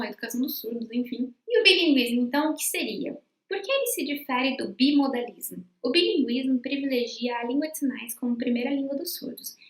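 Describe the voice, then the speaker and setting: A woman's voice: high-pitched, echoey room. A Brazilian woman professor giving a remote lecture, explaining step by step with frequent fillers and restarts. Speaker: university professor.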